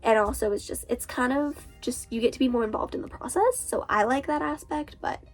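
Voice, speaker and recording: Stuffed-up nasal teen girl author vlogging, kinda high voice, faint music behind. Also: a little fast. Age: teens. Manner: chatty, lots of fillers like "like". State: congested, stuffy nose from a cold. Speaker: author and booktuber. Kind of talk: casual vlog talk.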